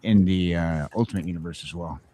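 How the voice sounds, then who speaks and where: Lower-voiced man rambling on a podcast. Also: fairly quick, warm tone, slight background noise. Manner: rambling, full of uh fillers. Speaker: podcast co-host. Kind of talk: casual morning show chat.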